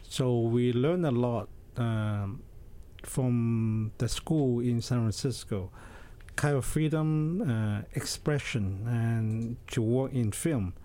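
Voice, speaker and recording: A man speaks very slowly. His tone is mellow and dark, and he builds his thoughts in short, broken phrases with pauses. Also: medium pitch. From Hong Kong. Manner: slow, halting, broken phrasing. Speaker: filmmaker.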